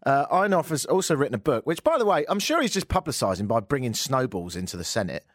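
A man's voice: medium pitch, big pitch swings, quick pace, no room sound, studio quality. A man hosting a radio show, delivering a mocking, exasperated comic rant.

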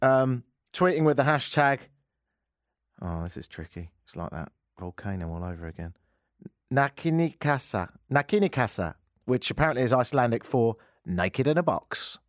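A man's voice: mid-range voice, expressive, moderate pace, no room sound. A man hosting a comedic radio show, rambling through sardonic asides into a studio mic. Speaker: radio host.

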